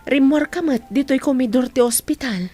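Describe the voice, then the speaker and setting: A woman's voice: high voice, moderate pace, mellow, soft background music, lightly acted. A middle-aged woman playing a kindly, helpful auntie in an audio drama, with an Ilocano Filipino accent.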